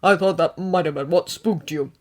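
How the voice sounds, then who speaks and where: A woman voicing a big, gentle grown male hero who comforts his young student in a fatherly way. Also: fairly low pitch, moderate pace, natural voice.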